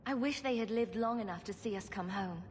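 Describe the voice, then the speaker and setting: Woman acting an assertive young heroine, medium pitch. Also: a little fast, slight background noise, lightly acted. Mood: supportive. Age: young adult. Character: assertive, protective young heroine and elder sister. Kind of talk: dramatic video game voice acting. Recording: faint music behind.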